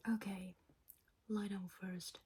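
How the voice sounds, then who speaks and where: A woman voicing a gentle, soothing female vampire in a breathy whisper for an ASMR bedtime roleplay, speaking English as a second language. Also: medium pitch, little pitch movement, background noise, close to an everyday voice. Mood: soothing, hushed. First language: not English. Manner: slow, soothing, coaxing.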